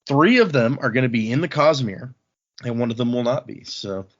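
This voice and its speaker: A man's voice: mid-range voice, expressive, normal speed, muffled recording. A man chatting casually as a podcast host.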